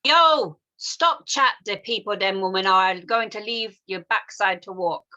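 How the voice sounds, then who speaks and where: A woman plays an irritated Caribbean bus driver in an audio drama. The voice is brash and piercing, heckling at a shout, captured with a somewhat muffled sound. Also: mid-range voice, measured pace.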